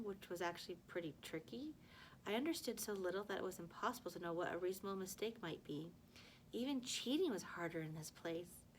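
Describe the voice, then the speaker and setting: A woman's voice: lowish voice, slight background noise, poor audio. A woman reading a storybook aloud like a teacher to a class, expressively, with stumbles and self-corrections. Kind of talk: storybook read-aloud with acted dialogue.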